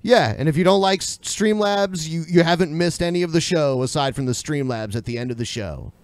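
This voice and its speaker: A man's voice: fairly high pitch, brisk, dry recording. An American man chatting casually as a podcast co-host. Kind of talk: casual chat.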